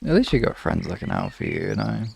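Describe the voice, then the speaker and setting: A man with a gravelly, husky voice chatting casually to live-stream listeners in an informal, halting way with some swearing. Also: lowish voice, huge pitch swings, darkish tone, a little hiss or hum.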